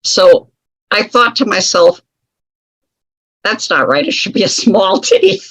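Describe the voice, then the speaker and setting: A woman's voice: mid-range voice, very animated, takes it slowly, a bit bright, slightly rough, no echo, low-quality recording. A woman telling a personal story as an interview guest, chatty and wry, laughing often at her own remarks. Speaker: guest author.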